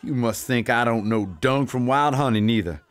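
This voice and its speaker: An actor playing a gruff, menacing Old West sheriff with a folksy drawl, in an audio play. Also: male voice, mid-range voice, normal speed, close to an everyday voice. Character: tough, feared Texas sheriff, gruff and menacing. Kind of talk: Western audio play acting.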